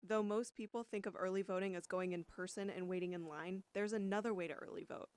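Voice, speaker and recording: Fast-reading woman news reporter. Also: mid-range voice, fairly even intonation, a little mellow, slight background noise. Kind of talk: scripted news voice-over.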